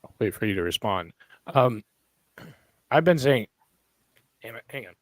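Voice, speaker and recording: A man with a hoarse, worn-out voice chatting casually as a podcast host, rambling and searching for names as he goes. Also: mid-range voice, animated, a little slow, no room sound. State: hoarse, lost voice. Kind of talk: casual online chat.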